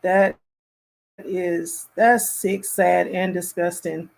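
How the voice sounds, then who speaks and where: A woman hosting a casual reaction commentary, speaking in an appalled, emphatic and halting way. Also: lowish voice, steady tone, creaky, darkish tone.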